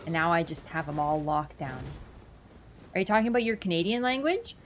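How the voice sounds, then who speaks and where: A woman's voice: fairly low pitch, lively intonation, brisk, slight background noise. Chatty woman streaming a game. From Poland. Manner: chatty, answers chat, laughs easily. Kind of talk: casual gaming livestream chat.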